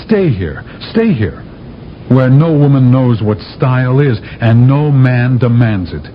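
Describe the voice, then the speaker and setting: A bold, persuasive space envoy played by a man with big swings of pitch and an emphatic, stagey push. It sounds like old radio drama, slightly muffled, with a layer of noise behind it. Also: animated, a little slow, close to an everyday voice. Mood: scornful. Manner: insistent, theatrical, emphatic. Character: bold, persuasive male astronaut envoy from Earth. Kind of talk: 1970s radio drama acting. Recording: dull, noisy old radio broadcast recording.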